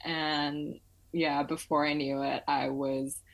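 Young woman guest, low pitched, chatty and loose, lots of "like" and "um". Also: little pitch movement, takes it slowly. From Malaysia. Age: 20s. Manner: chatty, filler-heavy, laughs often. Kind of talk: casual interview chat.

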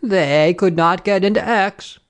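A woman's voice: medium pitch, unhurried, gravelly, pitched above the performer's own voice. A woman voicing a prim, nosy, smugly triumphant Frenchwoman from a story, with an insinuating, emphatic delivery. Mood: scornful relish.

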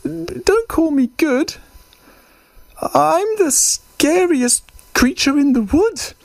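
High, stammering little mouse. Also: lively intonation, slow, creaky, dry recording, exaggerated voice. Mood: bluffing. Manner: stammering, hesitant. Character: small timid-but-cunning male mouse, bluffing and cheeky. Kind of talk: storybook character voice.

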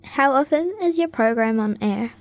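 A woman's voice: on the high side, expressive, moderate pace. A woman hosting a radio talent show, carefully reading out scripted introductions and prepared interview questions.

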